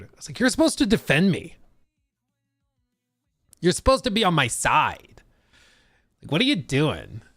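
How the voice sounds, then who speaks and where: A man's voice: fairly high pitch, fairly bright tone, no room sound, clean studio sound. A man hosting a casual live stream, slightly wheezy and out of breath, rambling with lots of fillers and restarts. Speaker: streaming host.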